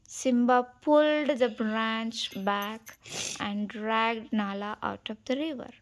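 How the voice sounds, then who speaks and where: A woman reading a children's storybook aloud as an expressive read-along narration. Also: fairly high pitch, slow pace, rough recording. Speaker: storybook reader.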